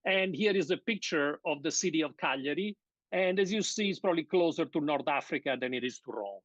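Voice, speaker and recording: A man's voice: fairly high pitch, lively intonation, no room sound. Middle-aged Italian man, guest lecturer, hesitant academic delivery, muffled dry call audio. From Sardinia, Italy. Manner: measured, hesitant, many fillers and restarts. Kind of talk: academic seminar talk. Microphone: video call.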